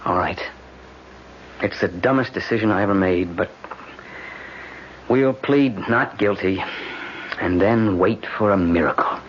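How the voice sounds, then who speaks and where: A man's voice: deep voice, unhurried, long pauses. An actor playing a resigned, gloomy man in a radio legal drama. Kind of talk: 1970s radio drama acting. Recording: dull, noisy old radio recording.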